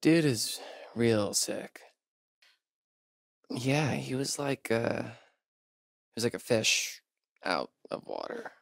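An actor playing a joking, sardonic young camp counselor in a video game, with an American accent and a laid-back, slangy way of talking. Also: male voice, medium pitch, lively intonation, drawn-out words, gravelly, pauses often, dry recording, clean studio sound, heavily acted. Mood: wry. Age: young adult. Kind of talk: naturalistic video game acting.

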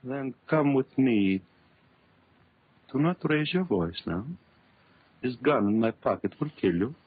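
An actor playing a megalomaniac villain doctor in a 1930s radio horror drama, theatrical, commanding and boastful. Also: male voice, mid-range voice, expressive, a little slow, slightly rough. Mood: menacing. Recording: muffled old 1930s radio recording.

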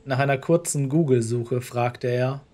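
A man's voice: mid-range voice, unhurried, mellow. A man narrating a scripted horror story in the role of storyteller. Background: music.

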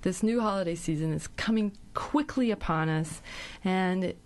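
A woman's voice: fairly low pitch, moderate pace. An American woman hosting a casual radio interview, conversational and often rephrasing as she goes. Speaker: radio show host. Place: radio studio. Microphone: studio broadcast microphone.

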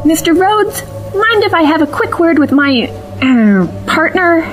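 A woman playing a sharp, sardonic young time traveller in an audio drama, dry and exasperated in manner. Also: very high-pitched, very animated, unhurried, background noise, exaggerated voice. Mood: brisk, wry.

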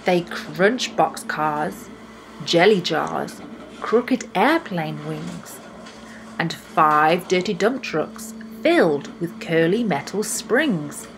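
A young woman reading a children's storybook aloud in an expressive style, with deliberate, emphatic phrasing. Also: lowish voice, background noise. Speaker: children's book reader.

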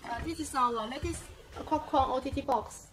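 High-voiced woman giving a hesitant factory tour. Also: moderate pace, music in the background. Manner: hesitant, many "uh" fillers. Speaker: company guide. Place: office and factory floor, indoors. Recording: noisy factory and office background.

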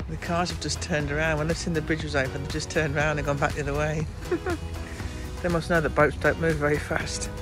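A woman's voice: sits low, level tone, moderate pace, long pauses, over music. A woman, joking wryly about boat life as she co-hosts a vlog. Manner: wry, self-mocking, laughs often. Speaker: vlog co-host. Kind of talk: casual chat. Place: outdoors by a canal, then inside a narrowboat.

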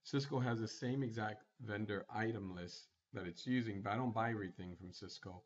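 A man presenting a screencast tutorial, walking through software setup step by step in a plain instructional manner. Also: lowish voice, fairly quick, muffled recording.

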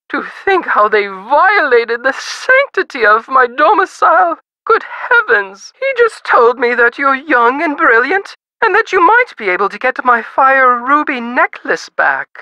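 Haughty society lady, video game character, pompous, genteel, melodramatic, piercing bright tone, dry studio sound. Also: sits high, no echo, full character voice, poor audio. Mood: aggrieved, melodramatic.

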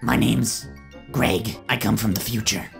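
A man's voice: fairly low pitch, unhurried, creaky, fairly bright tone, soft background music, full character voice. A man voicing a stranded scientist from the future, giving grave instructions in an improvised game roleplay. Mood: grave, instructing.

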